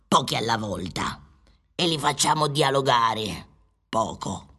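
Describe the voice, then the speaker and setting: Pompous, animated scriptwriter, played by a man. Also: mid-range voice, a little slow, exaggerated voice. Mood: musing, solemn. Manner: pompous, declamatory. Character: pompous scriptwriter inventing absurd radio drama. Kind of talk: theatrical radio play acting.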